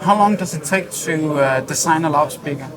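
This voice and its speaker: A man's voice: fairly high pitch, moderate pace. A man hosting a conversational interview on location, putting questions to a guest. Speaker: interview host. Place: busy hi-fi trade show hall. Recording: crowd chatter around the voice. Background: crowd chatter.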